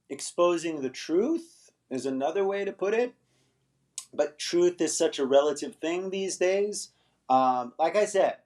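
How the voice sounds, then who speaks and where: A man's voice: medium pitch, a little mellow. A middle-aged man hosting an English-teaching livestream, chatting casually with viewers and rambling, often tagging "right?" onto his points. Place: Los Angeles.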